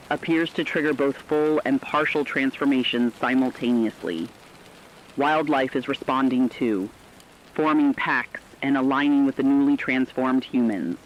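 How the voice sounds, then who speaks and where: A man playing a grave radio news reporter, delivering a formal, measured emergency bulletin in a scripted drama. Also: mid-range voice, highs cut off, slight background noise, close to an everyday voice.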